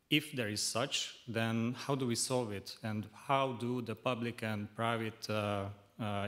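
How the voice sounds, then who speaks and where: A young man from Hungary, a native Hungarian speaker, asking an audience question haltingly. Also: fairly low pitch, a bit bright. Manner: halting, hesitant.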